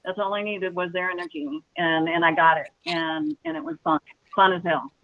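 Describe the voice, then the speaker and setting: A woman's voice: on the low side, animated, normal speed, vocal fry, dry recording, narrow, tinny audio. A woman drummer from Texas with a slight Southern accent, talking in an enthusiastic, rambling way. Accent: slight Southern American. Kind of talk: casual interview.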